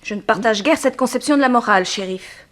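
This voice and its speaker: A woman's voice: on the high side, quick pace, lightly acted. An actress playing a grave, formal, composed young woman in mourning in a 1960s French radio drama. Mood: disapproving. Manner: formal, composed, pointed questioning.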